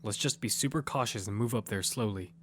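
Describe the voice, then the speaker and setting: A man's voice: lowish voice, fairly quick, a little hiss or hum, close to an everyday voice. An actor playing a wary young man who narrates and speaks in a battle-survival audio drama. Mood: cautious, serious. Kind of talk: first-person audio drama narration and dialogue. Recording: music behind the voice.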